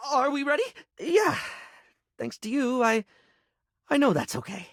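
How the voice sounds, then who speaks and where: A fabulous, theatrical male video game object brought to life. His light, high voice swings dramatically up and down as he gushes and rambles, affectionate yet insecure. The sound is close and dry. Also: very animated, a little slow, no room sound, heavily acted. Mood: excited, fluttery. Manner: theatrical, gushing, rambling, camp endearments. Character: flamboyant, chatty, insecure male living toiletry object, scatterbrained and affectionate. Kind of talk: video game character acting.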